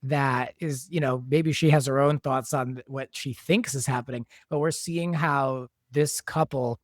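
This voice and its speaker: A man speaks at a middle pitch in a very dry recording. He talks as a writer and producer in a casual behind-the-scenes discussion, explaining things in a conversational way. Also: steady tone, measured pace, dry recording. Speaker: soap opera writer and producer, guest.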